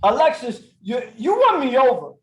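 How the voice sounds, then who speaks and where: Hoarse, hyped man with a high voice. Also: big pitch swings, moderate pace. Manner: hyped, playful. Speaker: podcast host. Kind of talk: casual interview.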